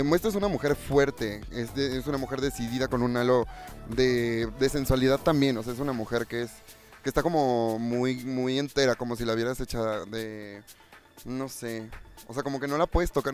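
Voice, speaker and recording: A man interviewing a guest in a relaxed, slangy way, searching for words. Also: medium pitch. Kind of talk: casual interview questions.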